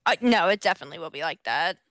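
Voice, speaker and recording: A woman's voice: fairly low pitch, expressive, rapid-fire, fairly bright tone. A woman chatting casually as a co-commentator, giving short, agreeable replies. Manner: agreeable, brief supportive replies. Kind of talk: casual chat.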